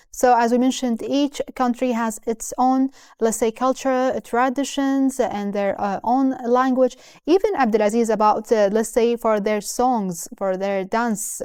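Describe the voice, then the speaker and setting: Enthusiastic woman, smooth clear voice. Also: on the high side, steady tone, a little mellow, dry recording, studio quality. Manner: enthusiastic, halting with many fillers. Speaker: co-host. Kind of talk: casual chat on a TV show.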